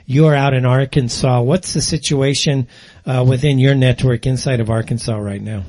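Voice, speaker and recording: A man hosting a political talk radio interview, putting wordy, halting questions to a guest. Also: mid-range voice, steady tone, darkish tone. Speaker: radio show host, interviewer.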